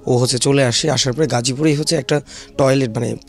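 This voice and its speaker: A man's voice: mid-range voice, fairly even intonation, fairly quick. A man with a Bangladeshi accent giving explanatory interview answers as a shelter manager, in hesitant phrases sprinkled with English terms.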